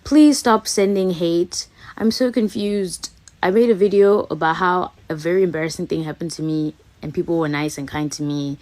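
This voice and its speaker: Young female influencer, hoarse, earnest, lowish pitch, speaking straight to camera. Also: normal speed, darkish tone. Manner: earnest, pleading. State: voice hoarse from a cold. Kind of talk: direct-to-camera appeal.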